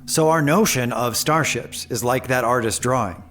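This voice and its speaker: A man's voice: mid-range voice, normal speed, a bit bright, faint music behind, natural voice. An actor playing a curious, practical, plain-spoken man who keeps questioning in a sci-fi audio drama. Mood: inquisitive.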